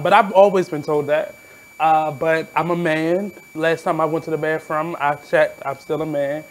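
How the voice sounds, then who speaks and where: A man in his thirties from Baltimore with a naturally high voice, hosting his radio show in a candid, blunt, reflective monologue. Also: slight background noise, low-quality recording. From Baltimore, USA. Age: 30s. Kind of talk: casual monologue.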